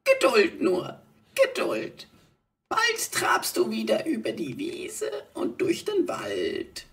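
A woman voicing a tiny ancient gnome in a children's audio play, gently murmuring with patient, whispery slowness. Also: a little high, wildly swooping pitch, recorded from a distance, exaggerated voice. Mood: gentle, soothing. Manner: slow, soothing murmur.

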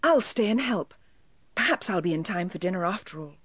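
A woman's voice: on the low side, lively intonation, a little fast, no echo. A woman with a British accent voicing a kind, earnest, polite little girl in a children's storybook. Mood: resolute.

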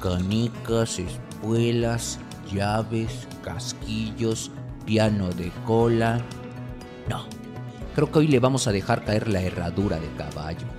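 A man's voice: on the low side, slow pace, over loud music. A man playing a scheming, petty neighbor dad with a Mexican accent in a comedy sketch. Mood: pondering, mischievous.

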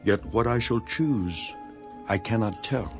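Casting: earnest imprisoned apostle, lowish voice, measured and solemn, for dramatized Bible audio with musical backing. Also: male voice, faint music behind. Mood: fervent reflection. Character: Apostle Paul, imprisoned, earnest, devoted elder writing to his flock. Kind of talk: dramatized scripture reading.

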